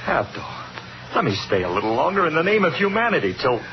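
An actor playing a cornered, fatalistic dance musician in a 1950s radio suspense drama, stammering and pleading in slangy talk. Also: male voice, on the high side, sing-song, quick pace, noisy background. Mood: desperate, defensive. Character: cornered, bitter male dance musician fearing a lynch mob. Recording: muffled old radio recording with music.

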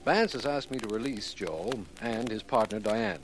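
Man as a brisk district attorney, noisy old recording. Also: fairly low pitch, close to an everyday voice. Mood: official, narrating. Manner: formal, declarative. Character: brisk, official, self-assured male district attorney. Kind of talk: 1940s radio drama acting.

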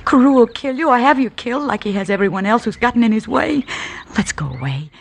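A woman's voice: fairly high pitch, expressive, fast, gravelly, a little hiss or hum. An actress in a 1950s radio western playing an anxious saloon girl who begs a man to leave town. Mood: pleading. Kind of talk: 1950s radio drama acting.

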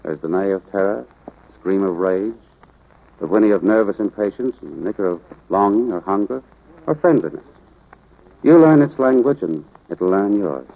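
Low-voiced stern American rancher father. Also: expressive, normal speed, gravelly, warm tone, low-quality recording. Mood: earnest, instructive. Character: stern, gruff rancher father instructing his young son. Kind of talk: 1940s radio drama acting. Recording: vintage radio drama recording.

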